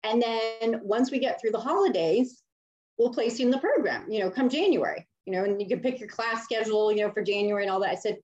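A woman's voice: fairly high pitch, a little fast. Chatty woman guest telling a story, lots of "um" and "you know", lo-fi dull sound. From Miami. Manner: chatty, animated, many fillers and laughs. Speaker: HR leader, guest. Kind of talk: casual interview storytelling. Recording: slightly dull lo-fi audio.

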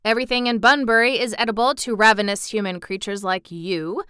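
A woman's voice: a little high, fairly even intonation, a little fast, sharp tone, smooth, no room sound, clean studio sound. A woman voicing a portly, self-important bun man in a storybook, stern, stiff and formal. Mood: stern, frank.

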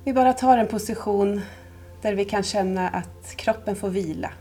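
A woman leading a guided yoga nidra meditation as instructor, calm, soothing and unhurried, with long pauses. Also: mid-range voice, soft background music.

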